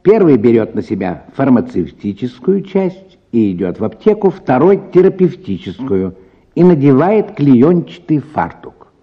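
Expressive male story narrator. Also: medium pitch, a little slow, husky, phone-quality sound. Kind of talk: dramatic scripted story narration.

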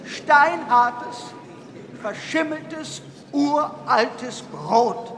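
An actor playing an archbishop who pleads theatrically in slow, declamatory radio drama oratory. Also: male voice, extremely high pitch, animated, gravelly, exaggerated voice. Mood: pleading, grave. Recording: echoey hall with crowd around.

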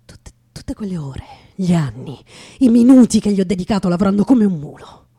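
A woman playing a weary, bitter working man in a radio theatre monologue, husky and breathy, muttering haltingly and often dropping to a whisper. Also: mid-range voice, expressive, mellow. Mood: bitter, anguished. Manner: halting, repeating words, muttering.

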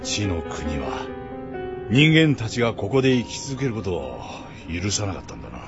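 Deep-voiced, grave expedition leader. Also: male voice, very animated, moderate pace. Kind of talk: radio drama acting. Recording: muffled radio drama, music behind.

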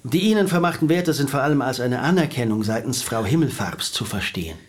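Man voicing a precise Swiss lawyer, measured legal phrasing. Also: mid-range voice, expressive, close to an everyday voice. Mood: formal. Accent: Swiss German. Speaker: lawyer reading a will. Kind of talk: radio drama acting.

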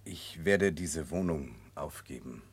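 An actor playing a troubled, guilt-ridden Russian prince in a German radio drama, brooding, earnest and formal. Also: male voice, extremely low pitch, expressive, slow, breathy edge, heavily acted. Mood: grave, resolved.

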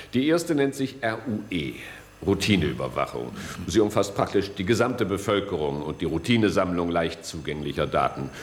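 An authoritative security service boss in a radio drama. His low voice moves widely in pitch as he delivers formal, guarded officialese with deliberate courtesy. Faint noise lies behind him. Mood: official, explanatory. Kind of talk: German radio play acting.

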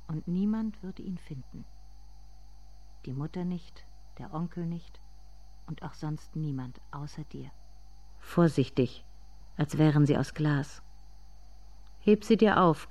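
An actor performing a literary radio drama monologue as a haunted inner narrator, speaking in deliberate, intense fragments. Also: mid-range voice, darkish tone, close to an everyday voice. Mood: intense, bitter.

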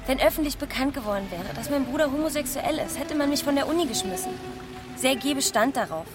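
An actress playing a haughty, secretive young student in a noir radio drama, cool, scornful and defiant. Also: female voice, high voice, lively intonation, fast talker, music in the background.

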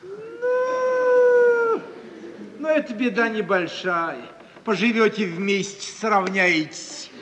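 An actor playing a wry, sceptical older uncle who teases and repeats himself in an old radio comedy. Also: male voice, extremely high pitch, animated, drawn-out words, a bit bright, a little hiss or hum, exaggerated voice. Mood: teasing. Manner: teasing, drawling, repeats words. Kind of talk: 1950s Soviet radio comedy acting. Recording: distant, reverberant 1950s radio recording.

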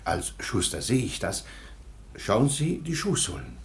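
An actor playing an eager, deferential master shoemaker in a radio play. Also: male voice, fairly low pitch, wildly swooping pitch, unhurried, a little hiss or hum. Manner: servile and deferential.

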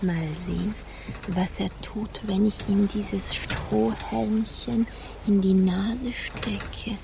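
A cool, aloof young shipmate in a radio drama. The speech is clipped and formal with a provocative edge, at a medium pitch, over clear tape hiss. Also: female voice, fairly even intonation, takes it slowly. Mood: teasing. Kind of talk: stage-like radio drama acting.

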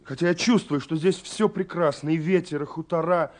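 A man's voice: fairly high pitch, lightly acted. Actor as anxious, dreamy young romantic man. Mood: excited, yearning. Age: young adult. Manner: agitated, halting, confiding, with swells and hushed drops. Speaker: actor in a radio play. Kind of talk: dramatic reading of a love letter, 1970s Soviet radio play.

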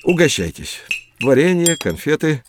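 A man's voice: fairly high pitch, very animated, moderate pace, background noise. A man acting as a genial host of a scripted children's audio programme, hospitably instructing the young guests. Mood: hospitable. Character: genial adult man hosting children at tea. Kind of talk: scripted audio play hosting.

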